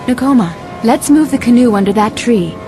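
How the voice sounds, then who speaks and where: A woman plays a brave young heroine from a children's storybook. Her voice sits fairly high, and she speaks with earnest determination and open expression. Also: expressive, brisk, over music, natural voice. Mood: eager, practical. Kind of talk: children's read-along story acting.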